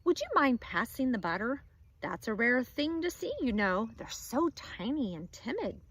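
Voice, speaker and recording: A woman's voice: fairly high pitch, very animated, moderate pace, fairly bright tone, slightly rough, dry recording, heavily acted. A woman reading a fussy polite husband.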